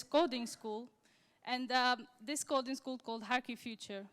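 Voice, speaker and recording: A young woman from Syria tells her story on a conference stage, speaking English as an in a high, clear and smooth voice. She speaks in halting, careful phrases, and her voice rings through a large hall with a long reverb. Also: a bit bright. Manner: halting, slightly non-native phrasing. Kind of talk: personal story told on stage.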